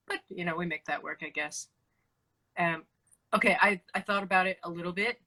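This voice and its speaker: A woman's voice: on the low side, sharp tone, low-quality recording. A female metal vocalist in a casual chat, talking in a chatty, self-deprecating way with lots of asides. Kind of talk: casual conversation about singing.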